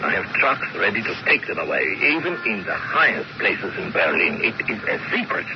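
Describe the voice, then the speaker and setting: Low-voiced German commando officer. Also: male voice, big pitch swings, normal speed. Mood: purposeful, confiding. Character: brisk, confident German commando officer on secret mission. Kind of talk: old-time radio drama acting. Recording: muffled, noisy vintage radio recording.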